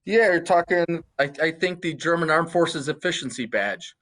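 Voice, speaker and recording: A man's voice: on the high side, lively intonation, moderate pace, fairly bright tone. An American man, a military panelist, sharing informal personal remarks in an American accent.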